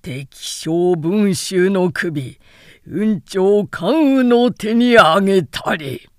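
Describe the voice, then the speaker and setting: Mighty ancient Chinese general, played by a woman narrator: proud, commanding, very deliberate, drawn-out storybook declamation. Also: medium pitch, expressive, darkish tone, no echo, studio quality. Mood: triumphant. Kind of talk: dramatic storybook character reading.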